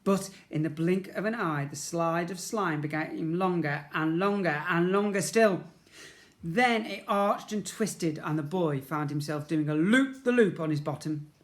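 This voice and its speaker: A man's voice: fairly high pitch, a little slow. A man narrating a children's storybook in a playful, dramatic way, acting out sound effects and leaning on repeated words. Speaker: primary school teacher reading to children.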